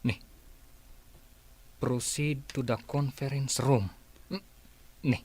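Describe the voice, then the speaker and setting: An actor playing a shifty, flustered office schemer in an Ilocano comedy radio drama, husky and evasive, with an Ilocano accent. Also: male voice, mid-range voice, steady tone, slow pace, darkish tone, slight background noise, natural voice. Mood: curious, smug. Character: shifty male office schemer, cornered and whining.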